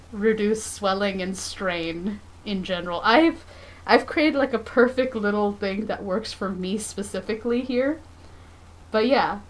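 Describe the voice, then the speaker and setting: Woman in her 30s rambling away at her drawing desk, middle pitch, faint hum behind her. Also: low-quality recording. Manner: chatty, rambling, self-deprecating. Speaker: comic artist streamer. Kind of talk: casual livestream chat. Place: home studio at a drawing desk.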